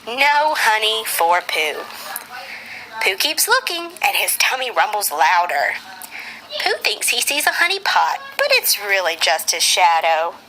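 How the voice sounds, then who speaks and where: A woman narrating a children's storybook for a puppet show in an expressive, sing-song read-aloud manner. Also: medium pitch, measured pace, slight background noise, rough recording.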